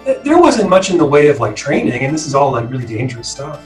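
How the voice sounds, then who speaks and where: A man's voice: fairly high pitch, big pitch swings, fast, darkish tone. A middle-aged American man reminiscing casually and ramblingly, often hedging with filler phrases. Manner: rambling, hedging with frequent "you know". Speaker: interviewee, former student co-op member. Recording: roomy, distant mic, music behind.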